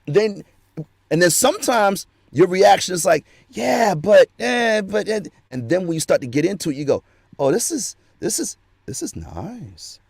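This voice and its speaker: A man on a video call as a guest, talking in a lively way and acting out quoted voices. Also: high voice, moderate pace, vocal fry, breathy edge, dry recording, clean studio sound. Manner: lively, acts out quoted voices, laughs often. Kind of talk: casual video-call chat.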